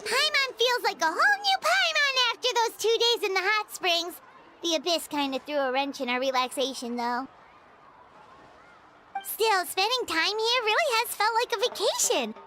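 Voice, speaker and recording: A tiny, bubbly fairy girl with an extremely high, squeaky voice. She is excitable and childlike, swinging widely in pitch like an animated game sidekick. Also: moderate pace, soft background music, heavily acted. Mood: cheerful, chatty. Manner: excitable, speaks of herself in third person. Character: tiny childlike female fairy companion, bubbly and greedy for snacks. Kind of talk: animated video game voice acting.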